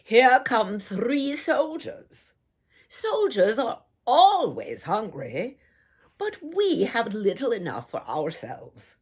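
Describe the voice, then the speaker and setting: A woman's voice: fairly high pitch, wildly swooping pitch, takes it slowly, husky, voice pushed up, heavily acted. A woman voicing wary storybook peasants who feign poverty, with a plaintive, exaggerated manner. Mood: alarmed, wary.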